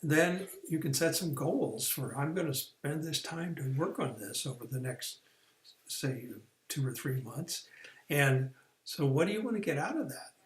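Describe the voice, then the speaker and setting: A man's voice: a little high, expressive, unhurried, roomy sound. A man explaining a career course in a plain, step-by-step way, with some restarts and fillers. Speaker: career coach. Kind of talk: recorded online training lesson.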